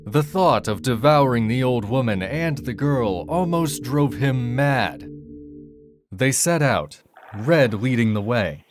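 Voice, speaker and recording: A man reading a dark fairy tale as a dramatic, scripted storyteller. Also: mid-range voice, lively intonation, slow pace. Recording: music behind the voice.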